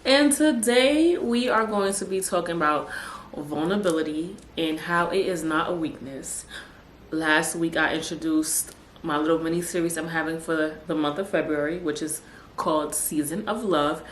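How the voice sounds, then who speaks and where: Chatty low-voiced New woman, roomy. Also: normal speed. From Brooklyn, New York City. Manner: chatty, animated asides like "child" and "honey". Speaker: podcast host. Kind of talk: casual chat. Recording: echoey room with faint noise.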